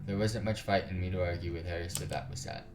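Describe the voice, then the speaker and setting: A man's voice: low-pitched, fairly even intonation, brisk, background noise. A man reading a visual novel aloud for a gaming video, narrating the story and dropping into casual, slangy, sometimes sweary asides. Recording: roomy echo, music behind. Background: game music.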